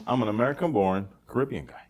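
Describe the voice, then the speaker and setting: A man's voice: mid-range voice, fast, a little mellow, a little hiss or hum. A man co-hosting a casual chat, often repeating words and phrases for emphasis. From Barbados. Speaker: podcast co-host and stand-up comic.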